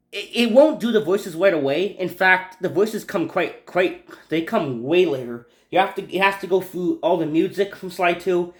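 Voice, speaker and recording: A man's voice: fairly high pitch, a bit bright. A man giving casual, unscripted gaming commentary, rambling and repeating himself as he stumbles over his words. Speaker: video game YouTuber. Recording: echoey room.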